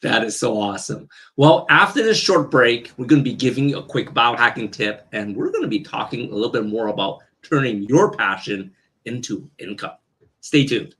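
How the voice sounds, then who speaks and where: A man's voice: mid-range voice, animated, normal speed, a bit bright. A man co-hosting a health talk show, chatting casually with hesitant restarts. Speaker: podcast co-host.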